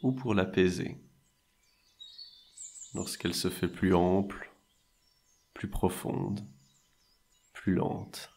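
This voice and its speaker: A man guiding a meditation in a soothing, measured way, leaving long pauses between short phrases. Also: low voice, little pitch movement, vocal fry, darkish tone, breathy edge. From French-speaking Switzerland. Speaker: meditation and hypnosis guide. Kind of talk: guided meditation narration.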